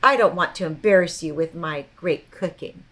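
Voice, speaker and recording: A woman's voice: lowish voice, moderate pace, fairly bright tone. Storybook blue jay, male, young, full of bluster and sulky grandeur, performed by a woman storyteller. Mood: grandly boastful.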